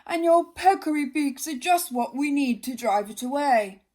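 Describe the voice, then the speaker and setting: Vain stuck-up warthog guy, as a woman reads him, grand and pleased with himself, lively up-and-down voice. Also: sits high, moderate pace, voice pushed up. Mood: pompous. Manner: grand and self-admiring. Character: vain, pompous male warthog.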